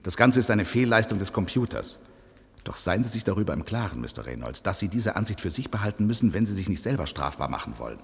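An actor in a German radio play playing a resigned, bitter judge who speaks formally and with emphatic, measured delivery. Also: male voice, low-pitched, big pitch swings, creaky, breathy edge, heavily acted. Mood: grave, confiding. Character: resigned judge, candid and bitter about computerized justice. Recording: reverberant hall sound.

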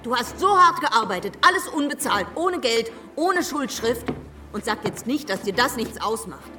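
An actress in a radio drama playing a devoted but sharp-tongued sister, insistent and reproachful. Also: female voice, on the high side, sing-song, normal speed, faint music behind. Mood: indignant. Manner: scolding, insistent.

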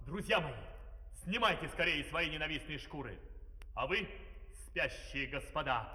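An actor playing a steadfast workers' leader in a fairy-tale opera, earnest and theatrical, on an old record. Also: male voice, fairly high pitch, lively intonation, measured pace, heavily acted. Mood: urgent, confiding. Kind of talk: theatrical opera acting with singing. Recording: old record, echoey, distant, loud music.

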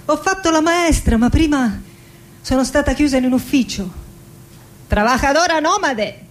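An actress playing a middle-aged Argentine woman poet in a theatrical radio monologue, reciting lyrically and deliberately with dramatic pauses, melancholy, wry and passionate. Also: high-pitched, lively intonation. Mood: wistful, proud.

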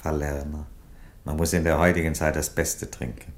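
An actor playing an aging Roman emperor in a radio play, an unhurried, wry father with a dry, sententious delivery. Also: rumbling low, little pitch movement. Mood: relaxed, weary.

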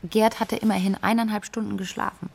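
Husky, creaky worried young woman. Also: mid-range voice, level tone, a little fast, darkish tone. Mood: reflective. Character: worried, candid young woman, the missing woman's best friend. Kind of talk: interview-like radio drama narration.

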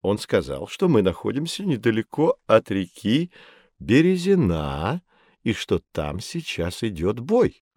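An actor playing a knowledgeable, patient mentor who explains things fondly to children in an educational audio drama. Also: male voice, lowish voice, wildly swooping pitch, measured pace, darkish tone, no echo, studio quality, exaggerated voice. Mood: patient, teasing. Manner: didactic, addressing children fondly.

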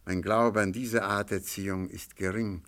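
An actor playing a shrewd amateur sleuth in a radio play, speaking in a measured, politely ironic way. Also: male voice, lowish voice, fairly even intonation, fairly bright tone. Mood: calm irony.

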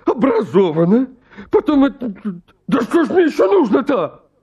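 A man's voice: high voice, dark tone, breathy edge, heavily acted. An actor playing a flustered, stammering bachelor suitor in his thirties, anxious and hypochondriac, in a comic radio play. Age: 30s. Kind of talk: stage comedy acting in a radio play.

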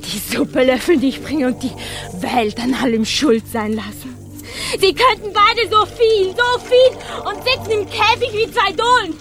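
A defiant, scornful young woman aboard a pirate ship in a German radio drama. Her voice sits very high, with biting, declamatory delivery. Faint music runs behind her. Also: measured pace. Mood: bitter, accusing.

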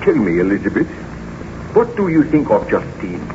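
A man's voice: on the low side, very animated, moderate pace. An actor playing a haunted, formal nobleman in the melodramatic style of a 1930s radio serial. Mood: dread. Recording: noisy muffled 1930s radio recording.

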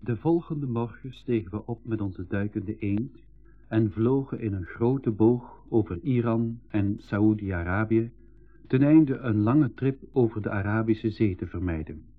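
A man's voice: on the low side, unhurried. A man playing an eager, outspoken boy telling his adventures in a 1980s school radio play. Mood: reflective narrating. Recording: thin phone-like radio recording, music.

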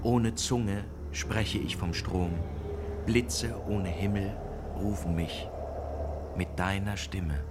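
A man's voice: steady tone, slow, very noisy. A man acting a searching, introspective mystic in a poetic radio drama, reciting solemnly. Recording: loud rumbling ambience behind voice.

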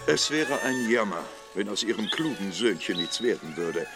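An actor playing a self-assured, condescending gentleman in a radio drama, speaking formally and patronizingly to a family he advises. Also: on the low side, big pitch swings, normal speed, music in the background.

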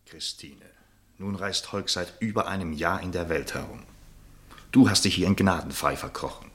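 A very deep, bright-voiced, urging gentleman. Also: moderate pace. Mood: earnest, pleading. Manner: earnest, persuasive, articulate. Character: concerned, urging gentleman confidant, worldly and wry. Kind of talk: German literary radio play acting.

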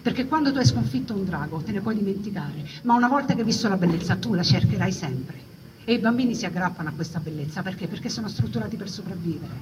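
A woman's voice: medium pitch, animated. Woman storytelling on stage, distant noisy recording. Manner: conversational, emphatic phrases with dramatic pauses and repetition. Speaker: stage storyteller performing own monologue. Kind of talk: theatrical narrative monologue to an audience. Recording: distant mic, noisy live ambience.

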